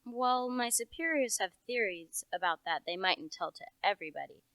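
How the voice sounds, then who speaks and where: Young woman doing a folksy country cop, bright clear voice, knowing n opinionated, very dry recording, radio play. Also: medium pitch, expressive, normal speed, dry recording. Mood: confiding. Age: young adult. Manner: rustic, knowing, matter-of-fact.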